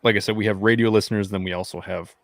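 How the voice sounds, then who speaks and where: A man's voice: on the low side, steady tone, dry recording. A man hosting a veterans' podcast, chatting casually with lots of fillers. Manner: fast, loose talker with many fillers. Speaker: podcast host. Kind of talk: casual interview chat.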